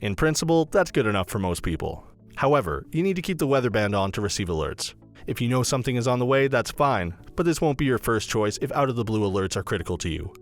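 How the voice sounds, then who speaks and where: A man reading a scripted product review as a voice-over narrator, in a brisk, even read-aloud delivery. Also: mid-range voice, soft background music.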